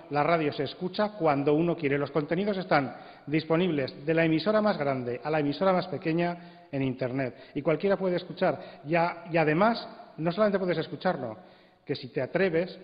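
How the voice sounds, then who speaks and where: A man speaking on a congress panel, explaining and arguing his points in a measured way with stress on key words. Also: a little high. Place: large indoor congress hall. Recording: dull, echoey hall recording.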